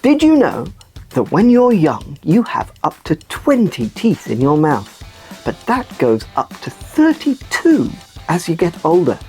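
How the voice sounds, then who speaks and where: A woman voicing a cheerful, excitable sock puppet who presents a children's news show in a playful, exaggerated way. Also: low voice, a little slow, slightly rough, soft background music, heavily acted. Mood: explaining. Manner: animated, exaggerated delivery. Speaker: kids' news show host.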